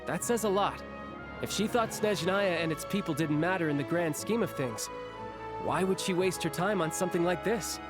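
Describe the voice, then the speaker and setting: A man voicing an easygoing young warrior turned diplomat in an anime game, breezy, sincere and reassuring. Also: high voice. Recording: music behind the voice.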